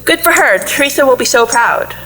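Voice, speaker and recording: Woman as a bright, sharp-voiced fussy mother. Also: high-pitched, lively intonation, a little fast, slight background noise, rough recording. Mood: mild. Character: overprotective, fussy mother of a teenage girl. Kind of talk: contemporary audio play acting.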